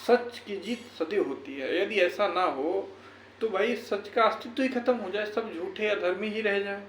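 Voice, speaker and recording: Echoey room with faint noise, high-voiced man, earnest, exhorting viewers, repetitive emphasis. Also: normal speed, fairly bright tone. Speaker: YouTuber and educator. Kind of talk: motivational update talk to viewers.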